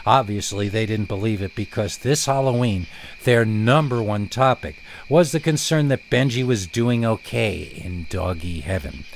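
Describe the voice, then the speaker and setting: A man reading a first-person scary story as a narrator, expressive and dramatic, leaning on words with deliberate pauses. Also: on the low side, slight background noise.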